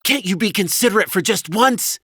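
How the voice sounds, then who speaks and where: Man as a fretful wife, meek, plaintive, raised pitch, bright tone, audiobook character. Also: expressive, unhurried, dry recording, clean studio sound, exaggerated voice. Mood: hurt, angry. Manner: plaintive, fretful, higher-voiced. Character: meek, anxious, long-suffering wife and stepmother.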